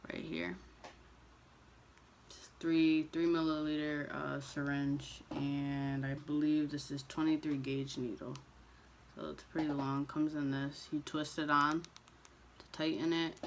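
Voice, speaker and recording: A young man chatting casually to camera as a vlogger, relaxed and loose, with frequent ums and restarts. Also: a little high, slight background noise.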